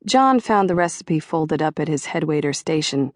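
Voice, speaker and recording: A woman's voice: deep voice, lively intonation, moderate pace, dry recording, studio quality. A woman voicing a chatty, catty female gossip who never stops talking, indignant. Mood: snippy.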